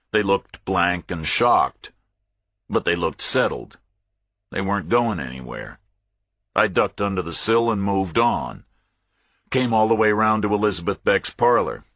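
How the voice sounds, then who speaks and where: Deep, husky male thriller narrator. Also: a bit bright, dry recording, clean studio sound. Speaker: audiobook narrator. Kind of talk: first-person thriller audiobook narration.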